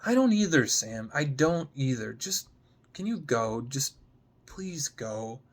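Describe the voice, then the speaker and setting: A man's voice: mid-range voice, sing-song, slow pace, heavily acted. Man reading as a guarded, weary young woman. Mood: upset, guarded. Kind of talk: dramatic screenplay reading.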